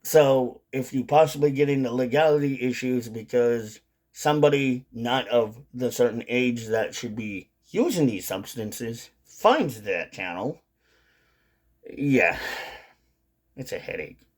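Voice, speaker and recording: A man's voice: mid-range voice, expressive, measured pace, a little mellow. A man with a mid-range voice speaks off the cuff in a casual vlog style. He rambles through his thoughts, often restating and rewording what he has just said. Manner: rambling, repeats and rephrases points. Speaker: video creator on a dipping tobacco channel. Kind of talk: casual unscripted vlog monologue.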